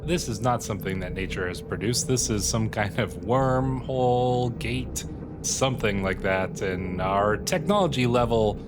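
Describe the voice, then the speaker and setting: A man's voice: fairly low pitch, measured pace, background noise. A man from Canada with a bad cold, giving casual, musing commentary as host of a strategy game roleplay video. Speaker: YouTube gaming host. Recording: loud music under the voice. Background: music.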